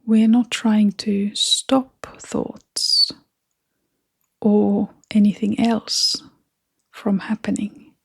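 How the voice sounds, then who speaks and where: Slow, breathy woman guiding meditation. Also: medium pitch, little pitch movement. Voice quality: soft, breathy, half-whispered. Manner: gentle, unhurried, with long pauses. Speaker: meditation guide. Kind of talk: gentle guided meditation.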